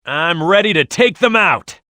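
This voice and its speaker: A man's voice: sits high, expressive, a little slow, bright, forceful tone, no echo, heavily acted. A man voicing a tough, hot-headed echidna brawler in a video game, proud and blunt in manner. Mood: fired up.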